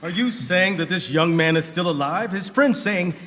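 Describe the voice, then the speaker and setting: A man's voice: high-pitched, animated, normal speed, fairly bright tone, in a large hall, slight background noise. A man playing an indignant bystander confronting police in an audio drama. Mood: indignant, urgent.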